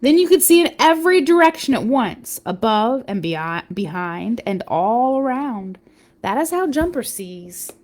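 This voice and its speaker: Female picture-book reader: high voice, very animated delivery, expressive emphasis, casual asides to young listeners. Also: a little slow. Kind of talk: animated read-aloud storytelling with casual asides.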